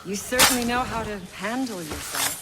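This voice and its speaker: A woman's voice: medium pitch, expressive, moderate pace, very noisy, lightly acted. A woman playing a stern, proud assassin combat teacher in a video game, commanding and teasing, grudging with praise. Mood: instructive. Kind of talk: video game cutscene acting.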